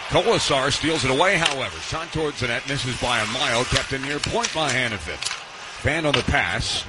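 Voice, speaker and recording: A man with a North American accent calling live ice hockey play-by-play on the radio, in clipped running description with dry asides. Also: mid-range voice. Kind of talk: live sports play-by-play commentary. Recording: live sports radio broadcast, loud arena noise. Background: loud arena ambience.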